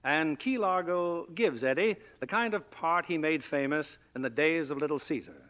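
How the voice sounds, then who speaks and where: A man hosting a 1940s radio theatre with formal, measured, theatrical scripted narration. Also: on the high side. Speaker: radio theatre host and producer. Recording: dull vintage radio recording with music.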